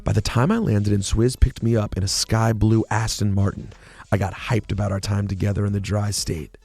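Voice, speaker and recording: A man's voice: on the low side, fairly even intonation, normal speed, creaky, darkish tone, husky, no room sound. A man voicing a sultry, overdramatic woman narrating a memoir of her affair, in a theatrical reading. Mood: sultry, dramatic. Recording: music playing behind the voice.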